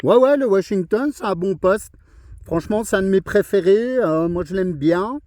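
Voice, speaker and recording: A slightly high-pitched man with a mellow, soft-edged tone talks casually as a radio hobbyist. He is conversational and keeps checking that his listener follows, in a dry, close-sounding recording. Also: lively intonation, moderate pace, dry recording. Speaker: amateur radio operator. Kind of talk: casual radio contact chat.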